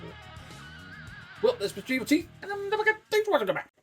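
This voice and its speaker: A man hosting a casual toy review, relaxed and enthusiastic. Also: very high voice, quick pace, faint music behind.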